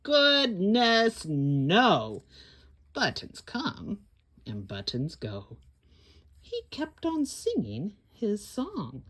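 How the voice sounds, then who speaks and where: A woman hosting a children's story time, reading a picture book aloud in a theatrical, deliberate way with exclamations. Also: medium pitch, huge pitch swings, fairly bright tone, breathy edge. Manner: slow, deliberate phrasing for young children. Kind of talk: animated read-aloud picture book storytelling with sung refrains.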